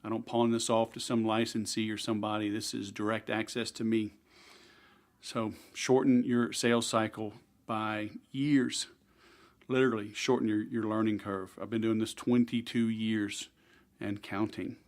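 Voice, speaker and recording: A man's voice: fairly low pitch, little pitch movement, moderate pace. A man with a Texas accent hosting a sales podcast, talking in a casual, salesy, direct way. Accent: Texan. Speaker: podcast host. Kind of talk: podcast interview and self-promotion.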